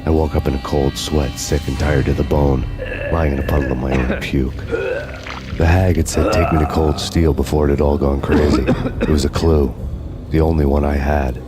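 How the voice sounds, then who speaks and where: Game noir narrator: a world-weary American cop, extremely low, flat delivery, deadpan, over music. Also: male voice, normal speed. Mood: grim, brooding. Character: brooding, world-weary hard-boiled American cop narrating his revenge. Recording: music under the voice.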